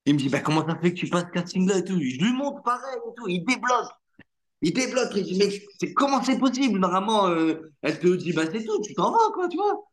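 A man's voice: high voice, quick pace. A male actor whose first language is Arabic, telling his story in a casual, slangy way and acting out the lines of the people in it. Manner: animated, slangy, acts out quoted dialogue. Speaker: actor, interview guest.

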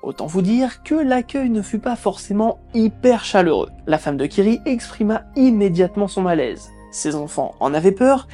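A woman hosting a video, telling a creepy story with lively, sarcastic asides. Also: normal speed, hardly any pauses, faint music behind. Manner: lively, sarcastic asides with squeaky put-on voices. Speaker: host. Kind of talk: storytelling narration with jokes.

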